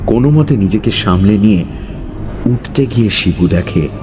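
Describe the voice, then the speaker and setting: A man narrating a scripted horror story dramatically, with suspenseful pauses. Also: on the low side, lively intonation. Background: music.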